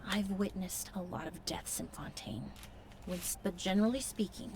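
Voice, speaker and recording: A woman voicing a composed, gentle and thoughtful mentor figure in a video game. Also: mid-range voice, normal speed, a little hiss or hum, lightly acted. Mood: gentle, reflective.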